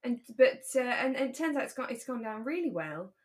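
A British woman chatting casually as a podcast co-host, in a talkative, easygoing way that is quick to laugh. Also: on the high side, sing-song, a little hiss or hum. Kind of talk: relaxed casual chat about a radio drama.

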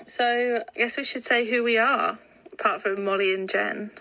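A woman's voice: fairly high pitch, fairly even intonation, normal speed, sharp tone, poor audio. A woman in her twenties co-hosting a casual podcast chat, dry and matter-of-fact. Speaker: podcast co-host.

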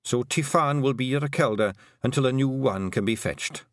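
A man's voice: mid-range voice, normal speed, no echo, clean studio sound, pitched above the performer's own voice, lightly acted. Male narrator as an ancient female clan leader, dying but commanding, solemn old-fashioned speech.

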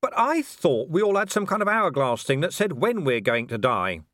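A man's voice: on the high side, sing-song, moderate pace, fairly bright tone, dry recording, clean studio sound, voice pushed up. A man voicing a beleaguered, sardonic hero in an audiobook, sarcastic and incredulous. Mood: protesting. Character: beleaguered, sardonic male hero, a titled nobleman.

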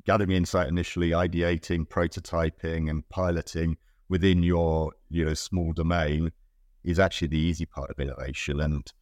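Guest: British man, middle-aged, extremely deep voice, hesitant and considered. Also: flat delivery, normal speed, a little mellow, no room sound, clean studio sound. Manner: thoughtful, many ums and restarts. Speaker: guest, innovation director. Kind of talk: casual interview answers.

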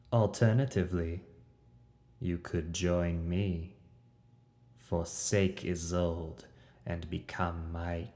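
A man reading a scheming male fright who coaxes and condescends in a calm, measured way. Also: deep voice. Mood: tempting. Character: scheming male fright, silken manipulative villain. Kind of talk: audiobook character voice.

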